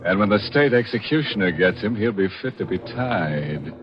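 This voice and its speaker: A man playing a gleefully macabre, punning horror host on 1940s radio, with a mock-sinister, drawn-out delivery. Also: fairly low pitch, lively intonation, background noise, close to an everyday voice. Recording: dull vintage 1940s radio recording.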